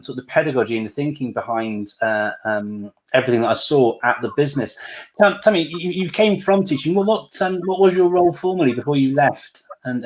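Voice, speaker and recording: A man's voice: medium pitch, poor audio. A man hosting an online panel, speaking hesitantly with many fillers and restarts. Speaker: host.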